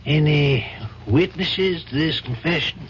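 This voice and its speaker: Slow and deliberate, this is a man playing a wise older American judge in a radio drama, probing and measured. The old recording is dull, with faint noise. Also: a little high, close to an everyday voice. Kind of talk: 1970s radio drama acting.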